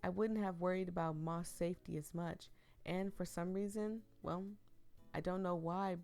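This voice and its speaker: Giggly woman, hoarse from flu. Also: on the low side, fairly even intonation, normal speed, a little mellow, soft background music. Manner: giggly, rambling asides to viewers. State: losing her voice after the flu. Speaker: streamer playing a visual novel. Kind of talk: reading a visual novel aloud with chatty commentary.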